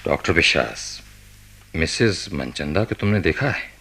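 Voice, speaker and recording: A man acting a commanding, preoccupied senior hospital doctor in a radio drama, speaking deliberately with thoughtful hesitations in a gravelly, husky voice. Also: rumbling low, very animated, pushed and bright, a little hiss or hum, heavily acted. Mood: grave, authoritative.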